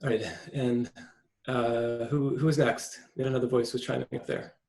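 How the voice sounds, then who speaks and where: A man's voice: medium pitch, level tone, normal speed, vocal fry, darkish tone. A man hosting an informal online discussion as a film school chair, with an encouraging manner on a video call.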